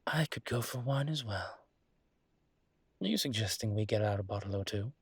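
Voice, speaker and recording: A man playing a suave, flirtatious demon professor in an intimate audio roleplay, teasing and indulgent, with a husky, breathy voice and languid, theatrically polite phrasing. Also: on the low side, dry recording. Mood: playful, flirtatious. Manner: languid, seductive, theatrically polite with drawn-out words.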